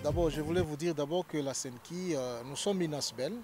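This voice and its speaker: A man's voice: a little high, moderate pace, darkish tone, soft background music. A man from Congo, a lawyer, explaining his association in an interview, hesitant with many fillers and growing more emphatic.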